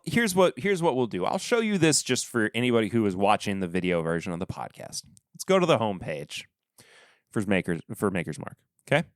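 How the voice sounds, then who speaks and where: Man, stuffy nose, podcast host, unhurried, rambling, dry close sound. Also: mid-range voice, no room sound. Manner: relaxed, rambling, trailing off quietly. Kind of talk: casual solo review chat.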